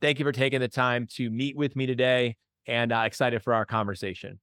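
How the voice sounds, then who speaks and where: A man's voice: mid-range voice, steady tone, a little fast, a bit bright, dry recording. Upbeat male podcast host, dry studio. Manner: enthusiastic, conversational with many fillers. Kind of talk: interview podcast.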